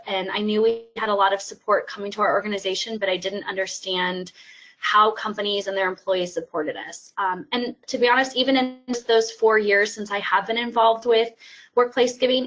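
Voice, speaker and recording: A woman's voice: medium pitch, fairly bright tone, poor audio. Candid woman telling her work story. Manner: candid, conversational. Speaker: nonprofit development staff. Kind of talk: webinar panel answers.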